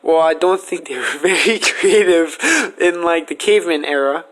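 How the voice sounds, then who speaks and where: A man's voice: fairly high pitch, normal speed, poor audio. A man asking questions in a casual, conversational interview style. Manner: informal, conversational.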